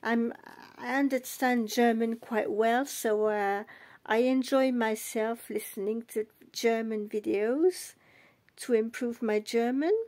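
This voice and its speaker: Slow, soft-spoken English-born woman. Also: on the high side, steady tone. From England. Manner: soft, unhurried, switches between English and French. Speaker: doll collector vlogger. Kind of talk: casual bilingual vlog chat.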